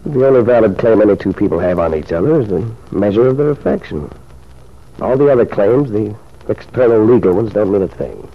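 A man's voice: lowish voice, expressive, a little fast. An actor playing an ardent, reassuring lover of a married woman in an old radio soap opera, suave and earnest. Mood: persuasive. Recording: muffled old radio recording.

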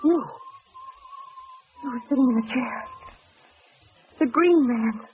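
A woman's voice: high voice, slow pace. An actress playing a spirited woman companion of a crime fighter, in 1940s radio mystery style. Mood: terrified. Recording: dull, noisy old radio recording.

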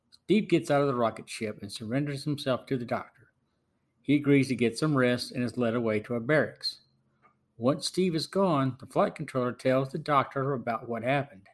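A man with a mid-pitched voice hosts a review show. He reads prepared commentary as narration, summarizing and assessing as he goes. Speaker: podcast host and reviewer.

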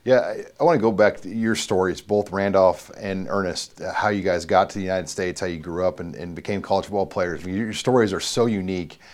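Low voiced guy interviewing college players, chatty rambling questions. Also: a little fast, few pauses. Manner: friendly, rambling questions with fillers. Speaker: sports reporter and host. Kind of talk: sit-down sports interview.

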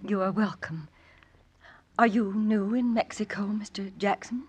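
Husky, reserved, gentle wife. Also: female voice, medium pitch, fairly even intonation, unhurried. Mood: polite, reserved. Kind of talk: old-time radio drama acting.

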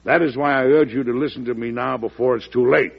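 An actor playing a forceful, shrewd American president in an old radio drama, frank and emphatic, with an American accent. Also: male voice, mid-range voice, steady tone, fast talker, creaky, husky. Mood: persuasive. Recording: muffled vintage radio broadcast recording.

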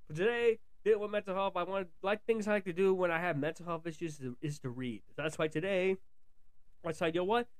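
A man hosting a casual pop culture podcast, talking informally to his listeners. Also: high voice. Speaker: podcast host.

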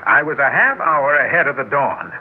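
Actor as confident tycoon, lively noisy radio recording. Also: male voice, medium pitch, normal speed, close to an everyday voice. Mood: proud, assured. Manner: self-assured, genial, emphatic. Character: confident, obsessive wealthy male tycoon racing westward against time. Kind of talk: classic radio drama acting. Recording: noisy, narrow-band old radio recording.

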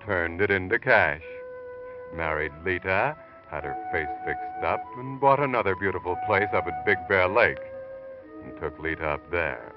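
An actor playing an ominous, knowing narrator who taunts in a sardonic, accusing way, in 1940s radio suspense style. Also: male voice, low-pitched, lively intonation, a little slow. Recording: muffled vintage radio recording. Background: music.

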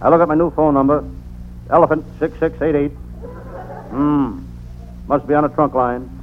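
An actor playing a deadpan, world-weary private detective narrating an old-time radio comedy. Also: male voice, fairly high pitch, normal speed, close to an everyday voice. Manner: deadpan, punning first-person delivery. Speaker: narrator and lead character. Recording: noisy, narrow-band vintage radio recording.

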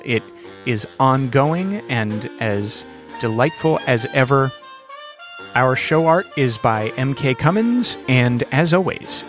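Earnest male podcast host, mid-pitched. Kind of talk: conversational interviewing and podcast hosting.

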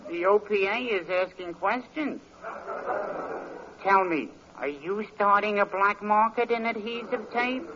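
A man's voice: high voice, a little slow, bright, forceful tone. A man playing a fed-up, peevish shopkeeper in a 1940s radio comedy, complaining with dry sarcasm. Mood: exasperated. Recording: dull, noisy old radio recording.

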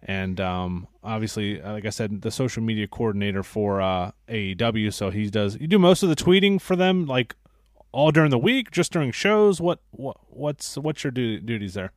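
A man's voice: lowish voice, expressive, normal speed, no echo, studio quality. A young man hosting a casual podcast interview, asking friendly, rambling questions full of fillers. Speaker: podcast host.

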